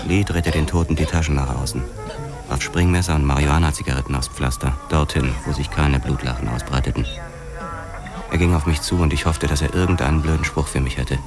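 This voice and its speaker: An actor playing a world-weary police detective who narrates his story in a hardboiled crime radio drama. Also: very deep voice.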